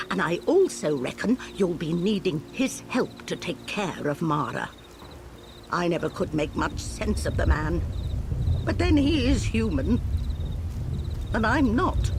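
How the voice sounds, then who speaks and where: Slow, creaky, ancient owl. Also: medium pitch, background noise, full character voice. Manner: slow, folksy, musing, theatrical. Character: ancient talking owl, centuries old, wry and cryptic. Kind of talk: video game cutscene acting.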